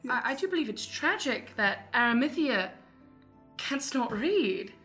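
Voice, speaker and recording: A woman's voice: fairly high pitch, very animated, a little slow, fairly bright tone, faint music behind. A woman playing a haughty, suspicious noblewoman in an improvised comedy radio play, pompous and mock-archaic.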